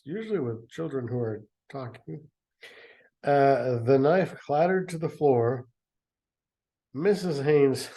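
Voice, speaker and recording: Slow, sarcastic man reading and griping. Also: mid-range voice, dark tone. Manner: sarcastic, rambling asides. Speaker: reader and commentator. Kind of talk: sarcastic commentary on a book reading.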